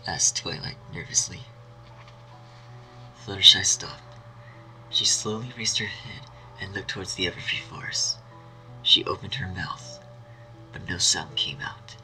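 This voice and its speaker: A man narrating a scripted dark fan story in a dramatic storytelling style. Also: sits low, unhurried, long pauses, rough recording. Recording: faint music behind.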